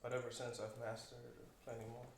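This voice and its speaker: A young man from the Dominican Republic talking casually as a guest about his music, in a relaxed, steady, matter-of-fact way. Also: mid-range voice, fairly quick, gravelly. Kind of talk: casual podcast chat. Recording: roomy, distant mic with faint noise.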